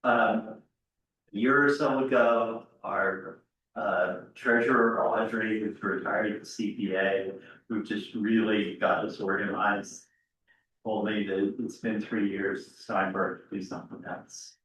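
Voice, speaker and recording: A man with a slightly low voice makes informal hosting remarks, slowly and haltingly. He sounds distant in a very reverberant hall. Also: steady tone, a bit bright. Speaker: presentation host. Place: large indoor church. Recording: very echoey hall, distant mic.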